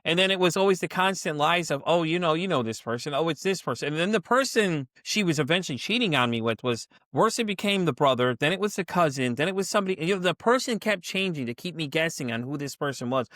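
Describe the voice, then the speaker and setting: A man's voice: fairly high pitch, lively intonation, fast talker, few pauses, a bit bright, no echo, clean studio sound. A man hosting a podcast interview, talking in a rambling way, full of "like" and "you know". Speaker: podcast host.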